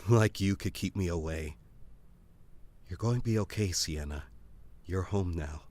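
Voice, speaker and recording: Man acting a deep-voiced, caring boyfriend. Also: measured pace, darkish tone. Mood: reassuring. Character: easygoing, caring boyfriend who cooks. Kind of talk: audio drama acting.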